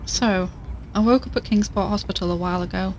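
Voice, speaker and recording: A woman's voice: mid-range voice, brisk, darkish tone, slight background noise, lightly acted. A woman playing a shaken, homesick investigator, giving hesitant, downcast testimony in an audio drama monologue. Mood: shaken, bewildered. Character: shaken, homesick female investigator recounting her ordeal.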